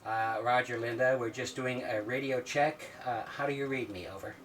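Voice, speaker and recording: A man in his sixties giving a step-by-step instructional demonstration in a plain, methodical way with hesitant pauses. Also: mid-range voice, steady tone. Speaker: sailing instructor. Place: small wood-panelled sailboat cabin at the navigation station. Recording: distant mic, clear background noise.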